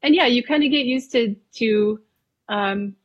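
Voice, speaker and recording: A woman from Wisconsin in her thirties, a painter talking casually as a podcast guest, relaxed and chatty with frequent ums. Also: on the high side, unhurried, slightly rough, muffled recording. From Wisconsin, USA. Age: 30s. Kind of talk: casual interview conversation.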